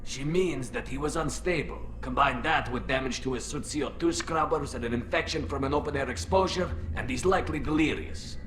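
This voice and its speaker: An actor playing a suspicious, hostile alien soldier who speaks curtly. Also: male voice, mid-range voice, a little fast, few pauses, fairly bright tone, faint music behind, close to an everyday voice. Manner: hostile, distrustful, curt.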